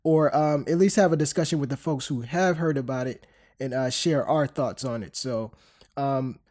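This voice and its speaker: A man's voice: mid-range voice, normal speed, a little mellow. A man in his thirties hosting a podcast, stuffy from a cold, chatting loosely with many fillers and restarts. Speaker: podcast host. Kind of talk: casual podcast chat.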